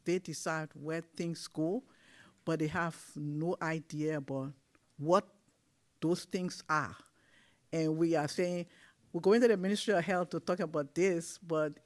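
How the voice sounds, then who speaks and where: A man on a discussion panel, speaking earnestly about community leadership. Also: on the high side.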